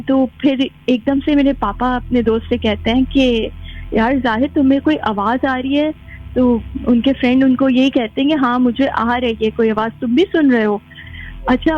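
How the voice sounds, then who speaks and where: A woman calling a radio show by phone, telling a story casually and mixing in English words. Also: high-pitched. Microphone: phone call. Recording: dull lo-fi phone-in line.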